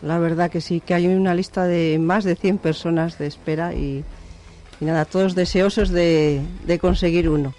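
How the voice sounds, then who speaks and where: A woman's voice: fairly low pitch, fairly even intonation, moderate pace, dark tone. A woman, a city councillor speaking as a guest in a radio interview, explaining steadily. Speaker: city councillor for family and social services.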